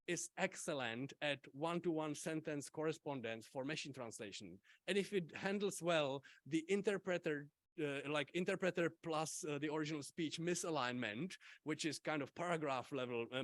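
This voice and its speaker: A man whose first language is Czech gives an academic conference talk, halting often with fillers and restarts. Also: a little high, dry recording. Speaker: invited conference speaker.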